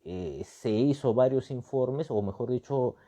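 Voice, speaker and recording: A man's voice: medium pitch, a little slow, dark tone. A man from Peru with a Peruvian accent gives off-the-cuff analytical commentary, emphatically repeating phrases and posing rhetorical questions with frequent tag words. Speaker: political and constitutional commentator. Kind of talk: extemporaneous analytical talk.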